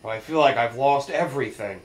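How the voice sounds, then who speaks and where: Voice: mid-range voice, a little fast, reverberant, poor audio. A let's-play commentator reacting casually to cutscenes, laughing often and swearing.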